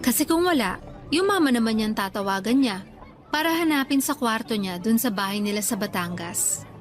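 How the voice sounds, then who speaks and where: A woman's voice: fairly high pitch, lively intonation, moderate pace, faint music behind, natural voice. An actress playing a troubled young working girlfriend who confides in her friend, in earnest conversational Filipino radio drama style with a Filipino accent. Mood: casual, resigned.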